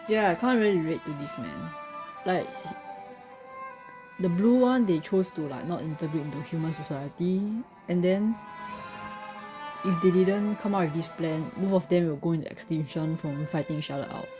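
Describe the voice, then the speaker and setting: A woman with a Singaporean or Malaysian accent comments casually over gameplay. Her voice is on the lower side. Music from the game is clearly heard behind her. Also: normal speed. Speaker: game streamer.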